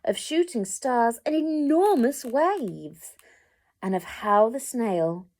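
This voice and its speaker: Animated woman reading a children's story. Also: fairly high pitch. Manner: deliberate, dramatic emphasis, addressing young listeners. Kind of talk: expressive picture-book read-aloud.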